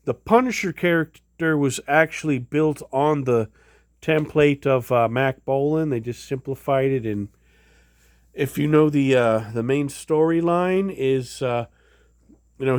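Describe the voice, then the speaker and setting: A man's voice: fairly high pitch, darkish tone, no room sound, clean studio sound. A man casually showing items in a home vlog, rambling with many fillers and restarts. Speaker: vlogger. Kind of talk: casual show-and-tell vlog.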